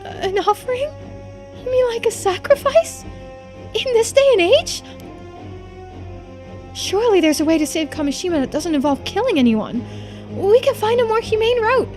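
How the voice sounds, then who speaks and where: A woman's voice: very high-pitched, very animated, moderate pace, long pauses, a little mellow, soft background music, pitched above the performer's own voice. A woman voicing an anxious, earnest young woman from a visual novel, sweet but stubborn and often hesitant. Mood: alarmed, defiant.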